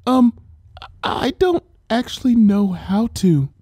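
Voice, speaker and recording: A man voicing a timid young female pegasus from a cartoon fan fiction, hesitant and halting. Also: sits high, sing-song, dark tone, dry recording, studio quality, pitched above the performer's own voice, exaggerated voice. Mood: timid, apologetic.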